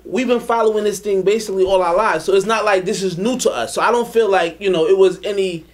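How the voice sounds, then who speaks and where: A man's voice: high voice, fairly even intonation, quick pace. A man from a sound system talking casually in an interview, reflective and hesitant, with many fillers.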